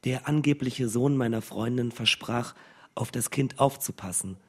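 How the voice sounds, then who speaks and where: Radio play: man plays earnest, worried friend reporting, mid-range husky voice. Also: mid-range voice, moderate pace, lightly acted.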